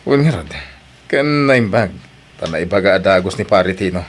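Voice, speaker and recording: A man's voice: deep voice, lively intonation, normal speed. A man playing a hard-working husband and father in need of a job, in an Ilocano radio drama with an Ilocano Filipino accent. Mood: eager.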